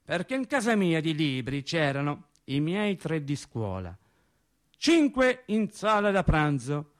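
An actor playing a wry, naive factory painter reminiscing in a radio theatre monologue, colloquial and rambling. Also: male voice, high voice, exaggerated voice. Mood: rueful, ironic. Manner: colloquial, animated, rambling storyteller. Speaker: stage actor performing a monologue.

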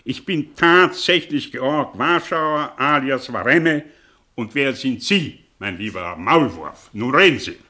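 Shady suspicious teacher in a radio drama, super animated, drags his words out, probing. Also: mid-range voice. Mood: pressing, impatient. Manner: theatrical, probing, drawn-out phrasing with sudden swings. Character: suspicious, sly English tutor, a man living under an alias. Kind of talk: German radio play acting.

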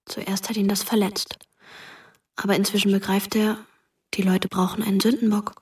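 An actress playing a dead teenage girl who narrates as a ghost in a German radio drama, measured and intimate, sometimes whispery. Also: mid-range voice, little pitch movement, slight background noise, close to an everyday voice. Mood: quietly sad.

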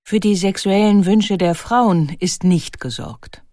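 A woman narrates a documentary from a script. Her mid-pitched voice is measured and articulate, recorded very dry with no room sound. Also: warm tone, no echo.

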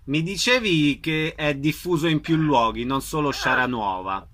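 A man's voice: fairly high pitch, lively intonation, moderate pace, a bit bright, a little hiss or hum. A man hosting a conversational radio interview, putting questions to a guest in an informal way.

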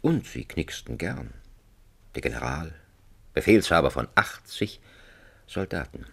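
A man narrating a satirical radio drama as a wry, mock-solemn storyteller, with a gravelly, husky voice. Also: rumbling low, big pitch swings, measured pace, fairly bright tone, exaggerated voice. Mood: wry, serene. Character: wry, leisurely male storyteller of a satirical fairy tale. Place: radio studio.

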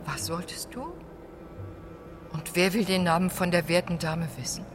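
A woman's voice: on the low side, moderate pace, soft background music, natural voice. A woman playing a wary but gullible lone woman in a radio play, questioning and wondering. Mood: wary, curious. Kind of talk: German radio play acting.

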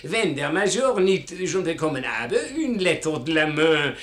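An actor playing a boastful, penniless French ex-captain and gambler, speaking halting broken speech with a French accent in 1950s radio drama style. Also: male voice, a little high, expressive, a bit bright. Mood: self-important, eager. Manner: halting broken German peppered with French, florid. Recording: roomy 1950s radio studio recording.

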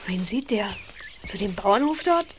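A woman acting a youngster in a children's detective radio play, speaking slowly and deliberately. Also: mid-range voice, expressive, noisy background, close to an everyday voice. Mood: wary. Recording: music playing behind the voice.

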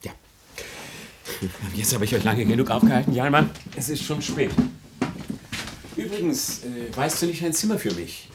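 An actor playing a solemn, probing gentleman houseguest in a German radio drama, measured and questioning in manner. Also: medium pitch, animated, noisy background, natural voice. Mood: serious.

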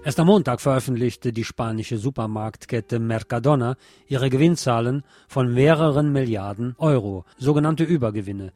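A man's voice: medium pitch, moderate pace, a little mellow. A man presenting radio news with sardonic, opinionated commentary. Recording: music bed under the voice. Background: music.

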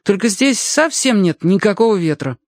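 A man's voice: high-pitched, very animated, normal speed, dry recording, studio quality, voice pushed up. A man voicing a polite, earnest young boy in a children's audiobook tale. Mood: thoughtful. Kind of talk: acted audiobook dialogue.

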